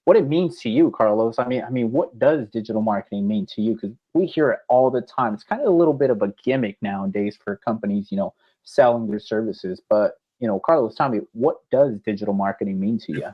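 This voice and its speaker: Man in his 20s, podcast co-host, lowish voice, dull lo-fi sound. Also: expressive, fairly quick. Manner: upbeat, addresses viewers, frequent "you know". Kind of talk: casual podcast chat.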